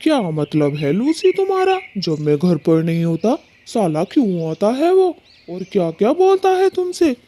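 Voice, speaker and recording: A man's voice: high-pitched, mellow, exaggerated voice. A man voicing a blunt, angry, protective husband pigeon in a Hindi cartoon story, confrontational in manner.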